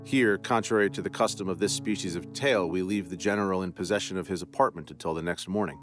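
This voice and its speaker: A man hosting a podcast, narrating a classic ghost story in a measured, deliberate way. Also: sits low, faint music behind.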